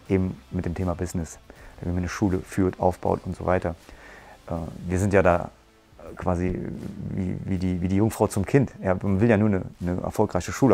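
A man in his forties, a martial arts school owner, earnestly giving a testimonial on a lapel mic in a bright room. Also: low voice, vocal fry. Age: 40s. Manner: earnest, explains at length with restarts. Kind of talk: testimonial interview. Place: bright room with curtains, standing.

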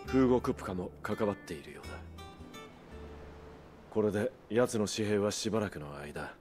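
A man acts a brooding mercenary hero in a fantasy video game. His voice is very low, his speech terse and restrained, with music playing behind. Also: lively intonation, normal speed, darkish tone, lightly acted. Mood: businesslike. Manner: low-key, serious, restrained. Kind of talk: Japanese video game voice acting.

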